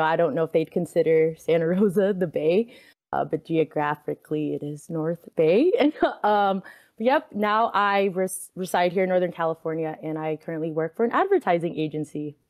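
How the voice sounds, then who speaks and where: Relaxed low-voiced woman guest. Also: no echo, clean studio sound. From Fiji. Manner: relaxed, laughs often, fillers like um. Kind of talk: casual podcast interview.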